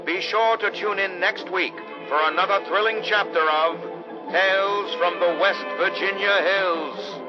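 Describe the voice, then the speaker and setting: An actor playing the mysterious, suspenseful narrator of a vintage radio serial of strange tales, speaking theatrically. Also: male voice, sits high, fairly even intonation, measured pace. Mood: ominous, theatrical. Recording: dull old-radio sound, loud music behind.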